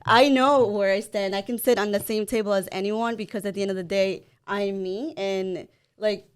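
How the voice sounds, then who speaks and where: Smooth-voiced woman, candid and chatty. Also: mid-range voice, fairly even intonation, normal speed. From El Salvador. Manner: candid, a little nervous, laughs easily. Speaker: podcast co-host, guest. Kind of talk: casual interview chat.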